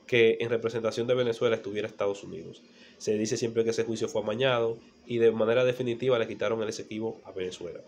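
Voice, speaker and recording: A Dominican man with a fairly low voice gives an explanatory monologue as a channel presenter. He teaches as he talks, posing rhetorical questions and repeating key phrases. The home-made recording carries faint background noise. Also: darkish tone. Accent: Dominican Spanish. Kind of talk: explanatory history monologue to camera.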